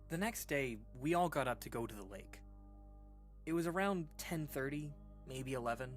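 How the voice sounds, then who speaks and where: An actor playing a rattled storyteller in a horror audio drama, recalling a frightening childhood memory. The voice is mid-pitched and conversational, halting and stammering, with dramatic pauses. Music plays underneath. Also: expressive, lightly acted. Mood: casual recollection.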